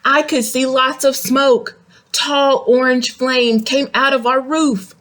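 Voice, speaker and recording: A woman's voice: high-pitched, steady tone, slow pace, fairly bright tone. A woman reading a picture book aloud to young children, expressively, with simple questions and explanatory asides. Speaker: read-aloud storyteller.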